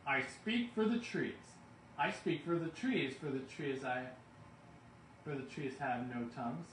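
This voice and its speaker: A man voicing a shortish, oldish, bossy and indignant forest creature in a storybook, with a sharp, strained, declaiming delivery. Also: medium pitch, unhurried, natural voice. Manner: bossy, emphatic, loudly declaiming. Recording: roomy, distant mic, faint background noise.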